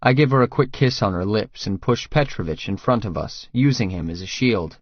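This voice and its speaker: A man's voice: fairly low pitch, lively intonation, moderate pace, dry recording, highs cut off. A man reading first-person fiction as a scripted audiobook narrator.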